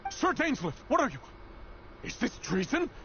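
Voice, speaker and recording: A distressed male loyalist of the throne in a video game, very high and swooping. He pleads dramatically through a creaky voice, over noisy, dull audio. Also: very animated, normal speed, heavily acted. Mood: alarmed pleading. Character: distressed loyal royal subject pleading against treason. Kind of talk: scripted game dialogue. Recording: dull game audio with clear background noise.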